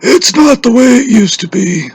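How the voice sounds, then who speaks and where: Shrill, husky cranky old villager. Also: male voice, animated, moderate pace, dry recording, heavily acted. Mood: grumbling. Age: older. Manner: folksy, complaining. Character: cranky shrill old village man, set in his ways.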